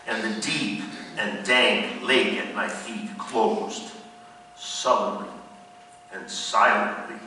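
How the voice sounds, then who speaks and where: A man's voice: on the low side, pushed and bright, a little hiss or hum. Man acting a grave gothic narrator, echoing hall. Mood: awed horror. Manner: grave, theatrical. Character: haunted, terrified male narrator of gothic tale. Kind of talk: dramatic gothic recitation. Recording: distant, very echoey hall.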